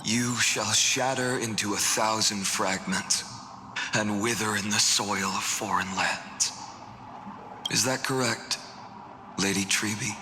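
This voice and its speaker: An actor playing a grave, weary swordsman burdened by fate, speaking in measured, dramatic video game dialogue that sometimes drops toward a whisper. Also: fairly low pitch, fairly even intonation, pushed and bright, reverberant, in a large hall, a little hiss or hum, exaggerated voice. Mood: grave, earnest.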